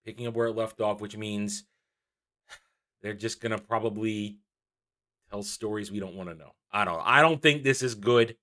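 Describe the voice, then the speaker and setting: A man's voice: on the low side, sharp tone. Exasperated man, emphatic delivery. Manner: exasperated, emphatic, repeats phrases with drawn-out stresses. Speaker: YouTube channel host. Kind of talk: opinionated commentary vlog on cartoons and comics.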